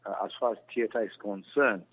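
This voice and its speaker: A man with a Cameroonian accent answering interview questions in a halting, repetitive, earnest explaining way. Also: on the low side. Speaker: interview guest, stage actor. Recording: muffled lo-fi radio phone call.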